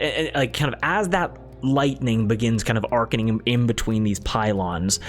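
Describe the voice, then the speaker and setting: A man improvising narration as game master of a tabletop game, often repeating and rephrasing as he goes. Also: medium pitch. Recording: background music under the voice. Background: music.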